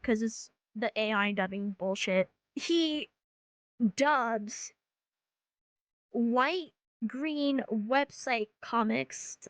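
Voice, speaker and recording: A woman's voice: a little high, dry recording. A woman delivering rant commentary in a sarcastic, blunt way with swearing. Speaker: video host, commentary creator.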